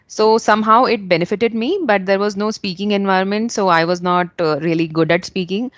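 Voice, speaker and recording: A woman's voice: mid-range voice, steady tone, brisk, no room sound, highs cut off. An Indian woman hosting a casual English practice conversation, earnest and encouraging, speaking English as a second language. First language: not English. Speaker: host.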